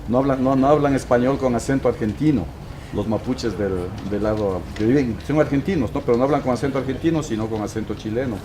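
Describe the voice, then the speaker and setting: A man in the audience asking a question at an academic conference, searching for his words and repeating phrases as he goes. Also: mid-range voice. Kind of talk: question from the floor. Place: conference hall. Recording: echoey hall, distant mic, noisy.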